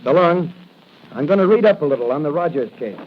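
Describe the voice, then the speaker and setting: An actor playing a confident young patrolman in a 1940s radio drama, brisk, assured and earnest. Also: fairly high pitch, expressive, natural voice. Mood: earnest, resolute. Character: confident young male rookie patrolman, secret crime-fighter. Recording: noisy, muffled 1940 radio recording.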